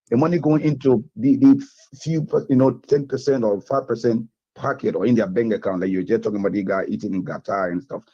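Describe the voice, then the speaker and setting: Fast-talking man caller. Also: on the low side, mellow, husky, no echo, highs cut off. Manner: rapid, insistent, repeats words. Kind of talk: call-in radio commentary.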